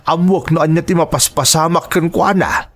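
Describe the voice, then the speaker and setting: A forceful male voice acted by a man gives us an ageing, caring father in an audio melodrama. He sounds weighed down by worry for his children, with a bright edge to the tone. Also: a little high, normal speed. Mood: puzzled concern. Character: worried, caring older father. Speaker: drama actor. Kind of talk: melodramatic audio drama acting.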